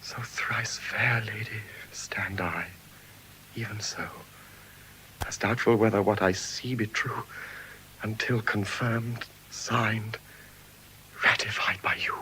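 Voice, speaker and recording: An actor with an English RP accent playing an earnest, romantic young Venetian lord in classical Shakespearean verse, with measured theatrical delivery. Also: male voice, fairly low pitch, steady tone, pushed and bright, poor audio. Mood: humbly hopeful. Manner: measured, theatrical, deliberate declamation. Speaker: stage actor. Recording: hissy vintage audio drama recording.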